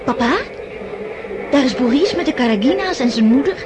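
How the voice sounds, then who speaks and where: Animated, impulsive young countess. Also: female voice, sits high, brisk. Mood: excited, gossipy. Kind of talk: Dutch radio drama acting. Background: music.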